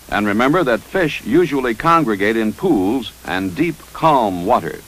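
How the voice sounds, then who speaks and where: A man with an American accent reading a scripted 1950s instructional film narration in a measured, authoritative, instructive manner. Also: fairly low pitch, a bit bright, low-quality recording. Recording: 1955 training film soundtrack.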